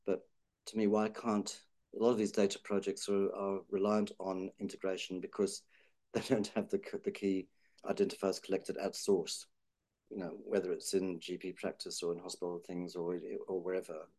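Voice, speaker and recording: Male, deep and mellow, thoughtful hedging discussion speaker. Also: level tone, normal speed. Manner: thoughtful, hedging with fillers and restarts. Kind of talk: policy discussion.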